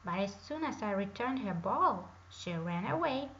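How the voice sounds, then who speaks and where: A woman doing a polite croaking bullfrog. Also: mid-range voice, lively intonation, normal speed, a bit bright, dull audio. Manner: courteous, formal. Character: polite gentle male bullfrog who croaks "ribbit".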